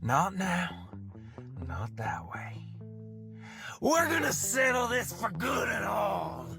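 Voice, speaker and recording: Guy doing big tough cowboy, radio play, defiant, slow lines w big swings, music under. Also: high voice, huge pitch swings, creaky, heavily acted. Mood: grim, resolute. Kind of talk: radio Western acting.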